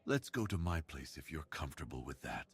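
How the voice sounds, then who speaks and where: A big, muscular werewolf with a very deep, husky voice, played by a man. He is gentle and bashful, speaking with earnest tenderness in a romantic ASMR roleplay. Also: big pitch swings, a little fast, full character voice. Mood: shy, intimate.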